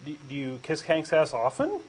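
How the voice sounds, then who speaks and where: A man's voice: a little high, wildly swooping pitch, moderate pace, breathy edge. A man with a Texan accent reading a comic dialogue as a hesitant, skeptical questioner who stammers. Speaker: co-host doing a comic dramatic reading.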